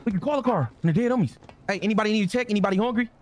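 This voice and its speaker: A man's voice: high voice, lively intonation, racing. An American man in casual gaming banter, talking urgently and insistently in profane slang. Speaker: role-play gamer.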